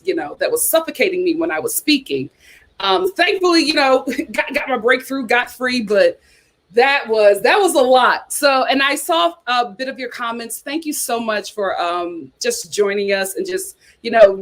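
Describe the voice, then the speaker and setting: A young woman hosting a casual livestream, chatting and reading viewer comments, repeating herself as she talks. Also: mid-range voice. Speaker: YouTube host.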